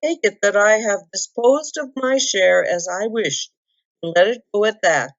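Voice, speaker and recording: A woman voicing a timid but indignant little male hobbit in a storybook, squeaky, fussy and polite. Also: unhurried, close to an everyday voice. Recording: dull lo-fi roomy recording.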